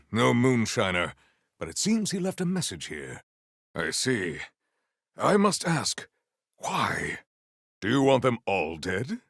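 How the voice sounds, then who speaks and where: Deep, gravelly, formal warrior. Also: male voice, a bit bright, no room sound, studio quality, full character voice. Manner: formal, stilted, grandiose diction. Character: proud, potent warrior Vault Hunter, formal and deadpan. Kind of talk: scripted video game character lines.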